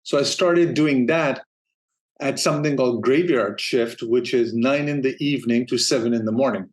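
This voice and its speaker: A man from Denmark in his forties tells his story as an interview guest. He talks in a loose, relaxed way, restarting sentences and laughing easily. His voice sits in a middle pitch. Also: moderate pace. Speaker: guest, streaming operations manager. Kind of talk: casual interview talk.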